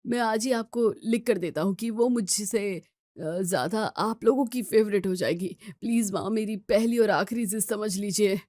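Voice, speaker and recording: A woman's voice: mid-range voice, normal speed, warm tone, no room sound, clean studio sound, close to an everyday voice. A woman voicing a devoted, stubborn young son in an audio story, earnest and persuasive. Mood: pleading.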